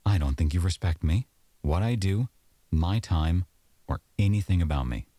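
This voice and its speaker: Guy playing a pissed off sarcastic boyfriend in a roleplay fight, super deep voice, close dry sound. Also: animated, moderate pace, mellow, dry recording. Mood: indignant. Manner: accusatory, exasperated, scornful. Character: aggrieved, sarcastic boyfriend in a heated domestic argument. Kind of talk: boyfriend ASMR audio roleplay.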